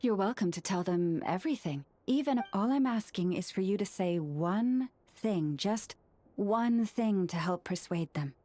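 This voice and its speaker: A woman voicing a composed, persuasive female negotiator in a video game, calm, polite and deliberate in her speech. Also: medium pitch. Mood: calmly persuasive.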